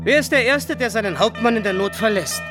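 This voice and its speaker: Defiant bandit chief in a radio drama, impassioned, voice swinging up and down, music behind. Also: male voice, sits high, expressive, a little fast. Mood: challenging. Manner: rhetorical, impassioned. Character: proud, defiant robber captain rallying his men. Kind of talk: German radio drama acting.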